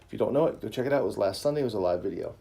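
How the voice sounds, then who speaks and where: Mellow-voiced man reading a bedtime story. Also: fairly low pitch, expressive, very fast, gravelly. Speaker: dad hosting a family livestream. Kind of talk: casual live chat and bedtime story reading.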